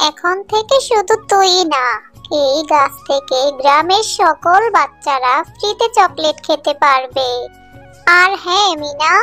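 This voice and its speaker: A woman's voice: very high voice, bright, forceful tone, voice pushed up, full character voice. A woman voicing an earnest, childlike little village girl in a children's cartoon story. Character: earnest little village girls, sweet-loving young sisters. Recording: music under the voice.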